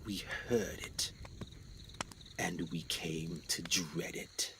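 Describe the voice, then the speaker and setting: An actor playing a haunted, educated explorer doctor in a radio drama, speaking formally and theatrically with grave anxiety. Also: male voice, sits low, steady tone, extremely slow, creaky, noisy background, heavily acted. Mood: haunted, hushed. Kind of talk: dramatic 1920s adventure radio acting.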